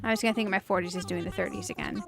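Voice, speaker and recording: A woman's voice: medium pitch, fairly even intonation, very fast, gravelly, fairly bright tone. A woman in her late thirties with a youthful-sounding voice, chatting casually and giggly as she hosts a video game livestream. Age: late 30s. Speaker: streamer and video game host. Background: music.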